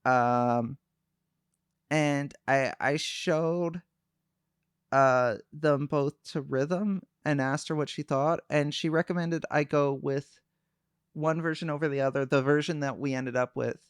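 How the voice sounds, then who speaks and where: A young man talks calmly to his stream audience, pausing often with fillers and restarts. His voice sits a little high for a man and sounds smooth and clean, recorded close with a dry, dead sound. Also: no echo. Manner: calm, soft-spoken, hesitant with many fillers. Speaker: VTuber streamer. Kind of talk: casual livestream chat.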